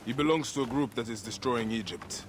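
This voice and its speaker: An actor playing a stern, determined warrior in a video game cutscene. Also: male voice, a little fast, noisy background, close to an everyday voice. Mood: grim, resolute. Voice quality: low, terse and determined. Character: stern Egyptian warrior man, last of his order. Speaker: game protagonist.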